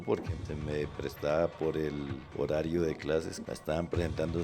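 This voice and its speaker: An older man sharing personal memories in measured, hesitant phrases. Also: extremely low pitch. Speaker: listener recalling childhood radio shows. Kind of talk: personal reminiscence.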